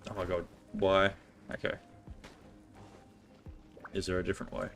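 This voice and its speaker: A man's voice: low-pitched, completely flat delivery, normal speed, vocal fry, fairly bright tone, soft background music. A man giving casual gameplay commentary as a streamer, musing aloud to himself. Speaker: game streamer.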